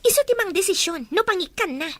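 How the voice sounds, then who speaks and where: A woman's voice: very high-pitched, expressive, fast talker, fairly bright tone, no room sound. A woman playing a remorseful, pleading pregnant young mistress in an Ilocano audio drama. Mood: resigned.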